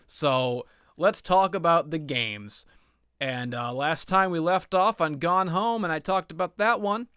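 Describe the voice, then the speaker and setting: A man with a slightly high, bright voice hosts a rambling comedic show about video games. He is sarcastic and melodramatic, putting himself down as he goes. The sound is clean and dry. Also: a little slow, clear, resonant tone, no room sound. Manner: self-deprecating, melodramatic, sarcastic, swearing. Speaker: video game commentator, series host. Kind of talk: rambling comedic game commentary.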